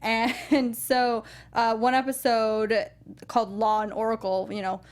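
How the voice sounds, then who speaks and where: Woman cohost on a movie podcast, a bit high pitched, chatty, laughs a lot. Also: level tone, measured pace, clear, resonant tone. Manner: chatty, laughs often, hedging fillers. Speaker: podcast co-host. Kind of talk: casual movie review chat.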